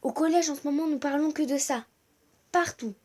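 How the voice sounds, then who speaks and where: A woman's voice: sits high, brisk, darkish tone. A teenage girl from France speaking earnestly to her viewers in a personal video, hesitant and full of fillers and restarts. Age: teens. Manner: earnest, growing indignant. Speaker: vlogger.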